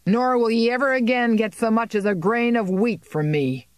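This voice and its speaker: A reader voicing a defiant male tenant farmer of about thirty who speaks harshly and shouts in anger. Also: mid-range voice, expressive, normal speed, dry recording, pitched above the performer's own voice, close to an everyday voice. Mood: furious. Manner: shouting, harsh and rough-spoken.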